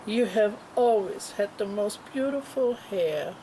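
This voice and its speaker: A woman's voice: medium pitch, expressive, measured pace, natural voice. A woman playing a confused, emotional mother in a screenplay table read, recorded in a noisy room. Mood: tender. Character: confused, fierce, then tender mother.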